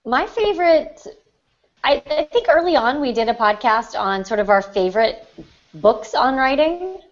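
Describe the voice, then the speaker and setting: A woman's voice: high-pitched, big pitch swings, normal speed. A woman chatting casually as a panelist on a podcast. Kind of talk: casual chat. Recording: dull lo-fi audio.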